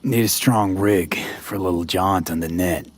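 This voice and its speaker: An actor playing a weary, tough mercenary in a cyberpunk game, speaking in clipped street talk. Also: male voice, deep voice, normal speed. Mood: cool, businesslike. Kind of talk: video game voice acting.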